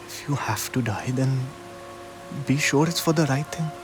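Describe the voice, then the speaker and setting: A man's voice: medium pitch, normal speed, lightly acted. Man acting a loyal, earnest companion over music. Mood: solemn urging. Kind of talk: video game cutscene acting.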